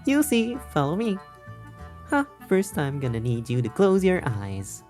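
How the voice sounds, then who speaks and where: Lively, teasing young love interest. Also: female voice, sits low, unhurried, soft background music, full character voice. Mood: playful, teasing. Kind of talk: visual novel game acting.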